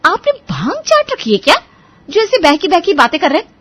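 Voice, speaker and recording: A woman voicing a sharp-tongued, scheming young daughter-in-law in a cartoon moral story, sarcastic and emphatic. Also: very high voice, wildly swooping pitch, a little fast, voice pushed up, full character voice. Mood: indignant, exasperated. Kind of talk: animated Hindi moral story dialogue.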